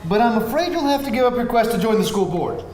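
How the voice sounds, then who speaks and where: A man's voice: extremely high pitch, animated, fast, darkish tone. An actor playing a smug, scheming father in a theatrical live screenplay read. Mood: smug gloating. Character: smug scheming father who blackmails rival parents. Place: live event room with audience. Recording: echoey room, faint noise.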